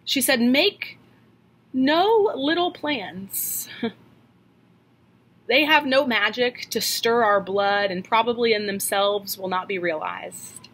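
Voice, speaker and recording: A woman in her thirties preaching a sermon from a home office into a webcam, earnest and questioning, slowing down to stress key lines. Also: on the high side, lively intonation, fairly bright tone, slight background noise. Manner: earnest, questioning, slows for emphasis. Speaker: pastor. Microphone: webcam at desk.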